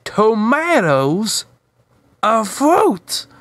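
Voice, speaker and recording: Man doing an eager, high-pitched furry orange wanderer. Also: wildly swooping pitch, drawn-out words, a bit bright, dry recording, exaggerated voice. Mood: excited. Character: cheerful, excitable furry orange cartoon wanderer.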